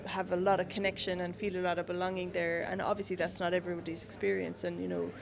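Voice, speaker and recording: A woman's voice: lowish voice, flat delivery, quick pace, crowd noise. A young woman with a Cork Irish accent, a council worker as the guest in a casual interview, chatty and laughing often. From Ireland. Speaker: city council staff guest.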